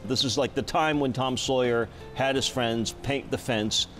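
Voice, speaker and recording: A man's voice: medium pitch, fairly even intonation, moderate pace, fairly bright tone, soft background music. A middle-aged man hosting a studio talk show, speaking conversationally and emphatically, repeating phrases for stress. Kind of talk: conversational late-night interview. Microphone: lapel mic.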